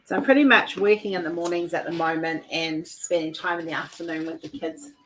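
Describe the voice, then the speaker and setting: A low-voiced woman chatting on a video call, full of restarts and "like"s as she tells stories. Her tone is relaxed and conversational. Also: fairly quick, slight background noise, low-quality recording. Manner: chatty, storytelling, frequent "like" and restarts. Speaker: livestream host. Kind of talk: casual chat.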